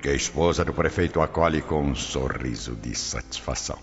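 A man's voice: very deep voice, moderate pace. A man narrating a radio soap in a dramatic, storytelling style. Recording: dull lo-fi radio drama audio. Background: clear music bed.

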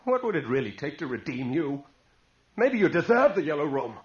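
A man's voice: a little high, very animated, moderate pace. An actor playing a stubborn, skeptical man who turns frantic and childlike, theatrical and scornful, in an old-time radio horror drama. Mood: indignant. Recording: dull lo-fi radio drama with noise.

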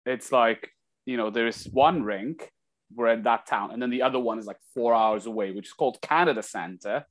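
A man's voice: mid-range voice, moderate pace. Man telling stories in casual conversation. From Kazakhstan. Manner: animated retelling with acted-out dialogue. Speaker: interview guest, former hockey player. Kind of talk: casual anecdotal storytelling.

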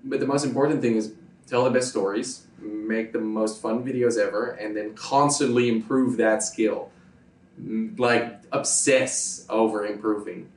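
Dutch man, native Dutch speaker, lowish voice, emphatic and repetitive, echoey room, off-mic. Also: fairly even intonation, measured pace, recorded from a distance. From Netherlands. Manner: emphatic, repeats points, checks agreement with "right?". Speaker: YouTuber guest. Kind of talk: casual interview answers. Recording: roomy sound from off-mic.

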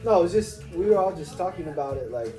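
A woman with a lowish voice and a lively range talks casually in a group. She sounds set back from the microphone in an echoey space, with music behind her. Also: fast, far from the mic. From United States East Coast. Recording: roomy, off-mic, music behind.

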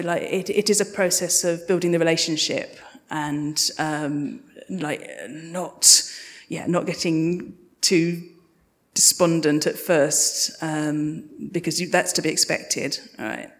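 A woman speaking conversationally as a guest sound designer on a live panel, answering audience questions. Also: low-pitched. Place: live podcast festival stage in a hall. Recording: reverberant hall at a live event.

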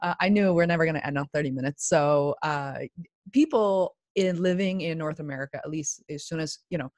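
A woman's voice: deep voice, brisk, a little mellow, dry recording. A woman interviewing a guest in a casual, chatty way, rambling and restarting her sentences.

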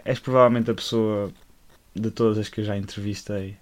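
A man's voice: fairly low pitch, fairly even intonation, normal speed. A man hosting a casual podcast interview, laughing often and hesitating through his questions. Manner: laughing, hesitant, many fillers and restarts. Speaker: podcast host.

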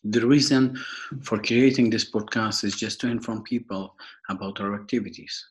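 A young man from Afghanistan with an Afghan accent, giving a prepared podcast introduction in a formal, halting way, often repeating and rephrasing. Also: on the low side, fairly even intonation, low-quality recording. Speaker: podcast host and foundation worker.

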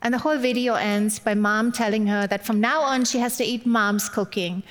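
A woman's voice: on the high side, steady tone, normal speed. A middle-aged woman from Germany with a German accent, giving a personal, lightly humorous church sermon as a guest speaker. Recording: long hall-like reverb.

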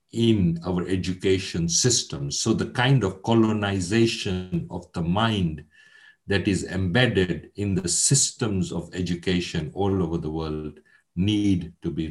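A man in his sixties from Kenya, a guest academic in an interview, speaking in a measured, earnest way with frequent hesitations. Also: sits low, darkish tone. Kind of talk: conversational interview.

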